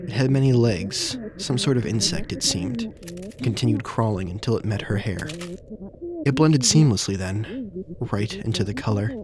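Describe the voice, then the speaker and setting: A man acting as an uneasy protagonist who narrates a surreal audio drama in deliberate, literary, descriptive phrases. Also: medium pitch, sing-song, a crowd in the background, close to an everyday voice, poor audio. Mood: uneasy, intent. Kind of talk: scripted first-person audio drama narration.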